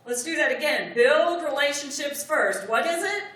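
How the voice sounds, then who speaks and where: A woman's voice: sits high, lively intonation, normal speed, crowd noise. A woman speaking on negotiation at a conference, leading the audience with call-and-response prompts. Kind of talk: conference keynote with audience exercise. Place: large conference hall. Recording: echoey hall, distant mic.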